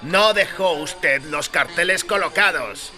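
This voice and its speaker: A man's voice: on the high side, slow pace, over music, voice pushed up. A man voicing an irritable, contemptuous circus gatekeeper in an audio story, with curt delivery. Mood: contemptuous, angry.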